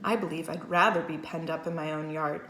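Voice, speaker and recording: A woman's voice: low-pitched, steady tone, fairly quick, sharp tone, a little hiss or hum. A woman voicing a timid young male pig who speaks hesitantly, in an echoey room. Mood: worried. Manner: hesitant, unsure.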